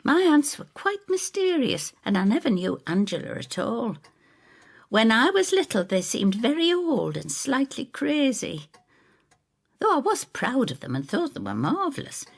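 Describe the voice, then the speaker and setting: A woman's voice: fairly high pitch, wildly swooping pitch, measured pace, creaky, slightly rough, pitched above the performer's own voice, heavily acted. A woman voicing an anxious, bitter, plaintive female neighbour of nearly seventy in an audio story. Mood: wistful. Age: older. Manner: plaintive, demanding.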